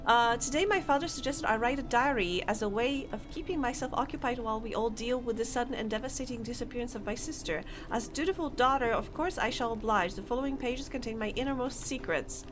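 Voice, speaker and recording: A woman streamer who chats playfully and thinks aloud as she explores a game. Her voice is high and swoops lively up and down, she talks at an unhurried pace, and clear game music plays behind her. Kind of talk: gameplay commentary.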